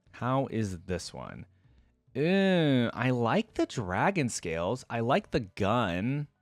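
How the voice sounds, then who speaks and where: A man's voice: mid-range voice, no echo. A man hosting a casual reaction video, musing aloud in a conversational, informal way. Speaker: YouTube host. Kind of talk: casual reaction commentary, thinking aloud and reading trivia.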